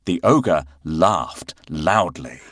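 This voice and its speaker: A man narrating a children's bedtime story as an expressive storyteller. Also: sits low, drawn-out words, no echo, studio quality.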